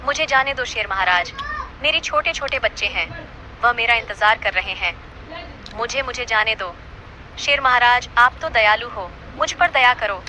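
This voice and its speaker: Woman voicing a pleading, bright-voiced cartoon fox. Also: fairly high pitch, moderate pace, a little hiss or hum, low-quality recording. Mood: frightened, pleading. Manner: pleading, deferential. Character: timid, wily female fox, pleading mother.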